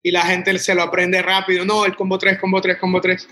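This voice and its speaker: Bright-voiced young Colombian man. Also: high voice, monotone, brisk. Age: 20s. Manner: rambling, many restarts and "o sea". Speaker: restaurant owner. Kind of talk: casual interview answers.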